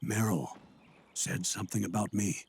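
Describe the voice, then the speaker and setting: An older American colonel briefing an agent in a video game. His voice is low and husky. He speaks in a measured, authoritative way. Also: fairly even intonation, a little mellow, exaggerated voice. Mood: guarded, defensive. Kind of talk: video game cutscene acting.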